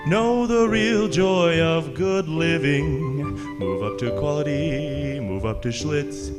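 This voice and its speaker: A man's voice: a little high, slow pace, over loud music. A man delivering an old-time radio sponsor jingle, partly sung. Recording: very loud musical accompaniment.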